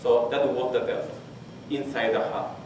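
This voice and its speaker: A man in his twenties from Peru with a Spanish accent, his first language, giving a technical conference talk and haltingly restarting phrases as he searches for English words. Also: medium pitch, flat delivery, a little mellow. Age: 20s. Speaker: conference presenter. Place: large conference hall. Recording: very echoey hall, distant mic, faint hiss.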